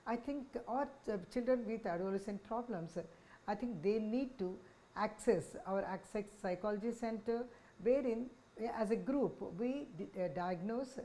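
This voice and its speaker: Older Indian-accented woman doctor, mellow voice. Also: on the high side, normal speed. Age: 60s. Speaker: senior child psychiatrist, head of department. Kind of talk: informational talk to camera. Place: small office, indoors. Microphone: lapel microphone.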